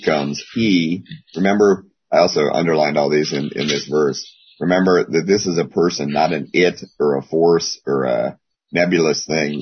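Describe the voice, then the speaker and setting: A hoarse man losing his voice, teaching a Bible class in a rambling way with many pauses. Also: deep voice. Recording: dull lo-fi audio.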